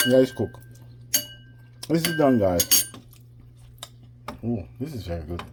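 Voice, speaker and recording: Man, home cooking vlogger, chatty and casual, mid-pitched, faint noise behind. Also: sing-song, slow pace. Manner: chatty, keeps addressing viewers as guys.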